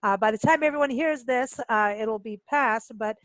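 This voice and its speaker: A woman's voice: on the high side, moderate pace, no echo. A woman hosting a casual radio interview, chatting in an informal, enthusiastic and slangy way. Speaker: radio show host.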